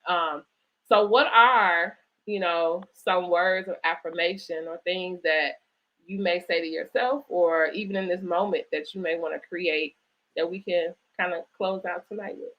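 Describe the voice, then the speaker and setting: A woman's voice: on the low side, steady tone, measured pace, highs cut off. A woman hosting a conversational live online interview, speaking in an encouraging, affirming way. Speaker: host interviewing an author.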